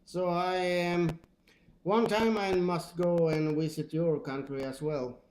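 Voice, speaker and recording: Slow, halting Swedish-accented man on CB. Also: a little high, steady tone, darkish tone, a little hiss or hum, rough recording. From Sweden. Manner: halting, hesitant. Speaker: CB radio operator. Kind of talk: casual two-way radio chat. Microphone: old radio microphone.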